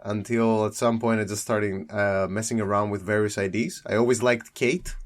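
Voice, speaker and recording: A man's voice: lowish voice, fairly even intonation, normal speed. A young man from Greece, chatting as a guest about his card game deck, rambling and correcting himself with frequent laughs.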